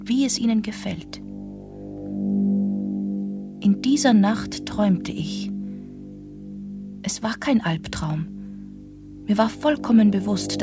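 An actress playing a reflective young woman who narrates a radio drama in the first person, hushed, deliberate and suspenseful. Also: medium pitch, lightly acted. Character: young woman recounting an eerie night, haunted, reflective. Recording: music under the voice. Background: clear music.

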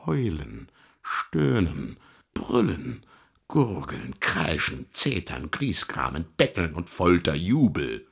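An actor playing a sardonic, eloquent devil in a literary radio drama, gravelly and theatrical, savouring each word with mockery. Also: male voice, extremely low pitch, huge pitch swings, slow pace, heavily acted. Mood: relishing, grim. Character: sardonic, eloquent devil lecturing on Hell's torments.

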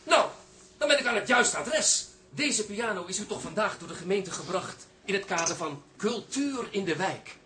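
Haughty pianist, bright high voice. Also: male voice, expressive, moderate pace, slight background noise. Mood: self-important. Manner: pompous, formal, indignant. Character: haughty, easily irritated male pianist sent by the council. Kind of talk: radio comedy drama acting.

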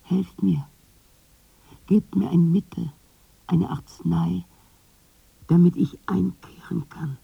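An actress playing a weary, pleading old woman in a lyrical radio drama, with a breathy, husky half-whisper. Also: on the low side, fairly even intonation, slow, long pauses, dry recording, highs cut off, exaggerated voice. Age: older.

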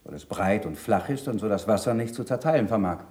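A man's voice: lowish voice, lively intonation, fast, a little mellow, close to an everyday voice. Thoughtful, questioning disciple played by a man. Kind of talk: 1960s German radio drama acting.

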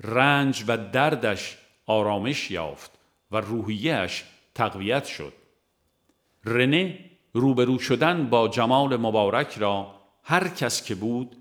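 A man with an Iranian Persian accent reads a religious audiobook in a measured, formal, literary manner. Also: medium pitch. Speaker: audiobook narrator. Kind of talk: scripted narration of a religious book.

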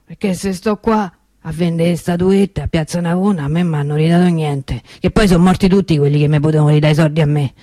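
A woman's voice: lowish voice, a little mellow, no echo, natural voice. A woman playing a plain-spoken, cheated Roman street vendor in a theatre monologue, telling a story colloquially and hesitantly with frequent tag questions.

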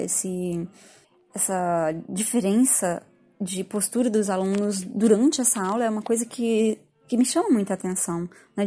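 A woman's voice: medium pitch, dark tone. A woman with a Brazilian accent giving an informal, reflective spoken commentary with a lightly self-deprecating manner. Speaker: art history teacher.

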